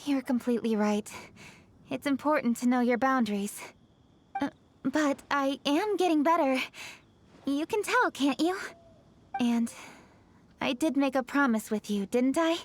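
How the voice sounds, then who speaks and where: A woman playing an earnest, poised young woman of high office in a video game, speaking politely and sincerely in measured, articulate phrases. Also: slight background noise. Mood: warm, sincere.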